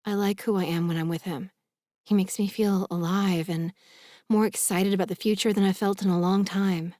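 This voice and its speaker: A woman's voice: medium pitch, fairly even intonation, moderate pace, warm tone, dry recording, studio quality, close to an everyday voice. A woman voicing a headstrong young woman in love, insistent and emotional, in an audiobook. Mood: earnest, tender. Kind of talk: audiobook character dialogue.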